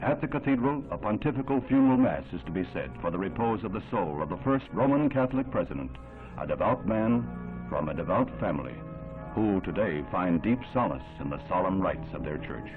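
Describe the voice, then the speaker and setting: Old sixties newsreel guy, american, low solemn voice, dramatic narration, tinny sound with music behind. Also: expressive. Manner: grave, measured, oratorical delivery. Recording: tinny 1960s newsreel soundtrack, band-limited.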